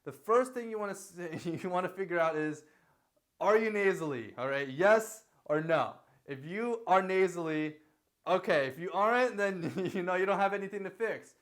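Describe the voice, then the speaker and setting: A man's voice: high voice, animated, normal speed, fairly bright tone. A young man teaching singing in a loose, casual way, full of fillers and frequent "okay?" check-ins. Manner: sings short lines to demonstrate. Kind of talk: casual vocal lesson.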